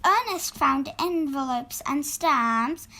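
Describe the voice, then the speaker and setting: A woman narrating a children's storybook in an expressive, deliberate read-aloud style. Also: high-pitched. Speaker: storybook reader.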